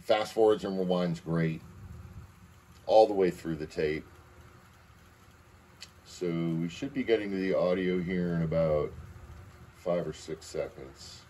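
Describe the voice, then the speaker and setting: Low-voiced man giving a casual demo. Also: slow pace, long pauses, mellow, slight background noise. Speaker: presenter demonstrating audio equipment. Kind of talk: casual, unscripted product demonstration. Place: indoor room at home, beside a tape deck.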